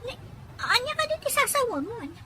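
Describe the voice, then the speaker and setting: A woman's voice: very high-pitched, very animated, fast, crowd noise. A woman playing a sulky, whiny young daughter in a radio serial. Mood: exasperated. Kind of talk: Philippine radio serial acting.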